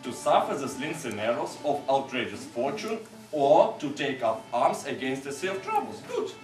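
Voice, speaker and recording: Persuasive, flattering producer, animated, distant echoey recording. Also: male voice, mid-range voice, moderate pace, soft background music. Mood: coaxing. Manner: wheedling and persuasive. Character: pushy, flattering theatre producer.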